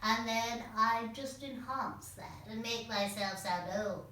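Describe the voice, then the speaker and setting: A woman voicing an old witch with a croaky, tight-throated voice. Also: fairly high pitch, slow, pushed and bright. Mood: sly, creaky. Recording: roomy echo, distant mic, faint noise.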